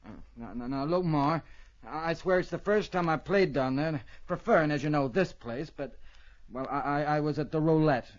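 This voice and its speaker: Cocky, higher-pitched cowpoke, a man acting. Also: normal speed, close to an everyday voice. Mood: defensive. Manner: insolent, hesitant and blustering. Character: cocky, shifty, defensive young cowpuncher. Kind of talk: old radio western acting. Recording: dull old radio recording.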